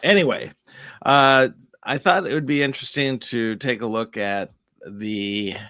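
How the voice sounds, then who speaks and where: A man's voice: medium pitch, a little slow, sharp tone, clean tone, dry recording. A middle-aged man co-hosting a casual chat, laid-back and rambling. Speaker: magician and co-host. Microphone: studio mic.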